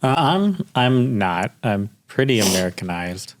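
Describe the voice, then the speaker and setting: A man guest on a podcast, whose first language is Korean, answers in a relaxed, plain way. His voice sits low, and it is recorded dry and close. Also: unhurried, no echo. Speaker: guest, real estate entrepreneur. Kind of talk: casual interview conversation.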